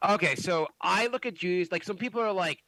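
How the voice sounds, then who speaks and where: Guy ranting in a casual chat, bright lively voice, swears a lot, dry close sound. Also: high-pitched, a little fast, no room sound, poor audio. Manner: animated, swears a lot, many restarts. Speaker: podcast host.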